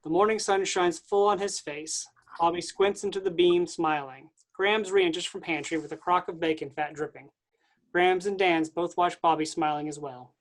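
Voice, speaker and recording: A reader voices the stage directions of a staged play reading in a matter-of-fact, even way. The pitch sits in a middle range. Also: normal speed.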